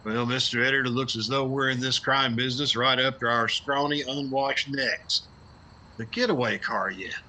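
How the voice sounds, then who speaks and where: A man's voice: medium pitch, steady tone, moderate pace, pushed and bright. An actor playing a wry, sardonic newspaperman sidekick in an old-time radio crime drama. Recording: noisy street and car sound effects.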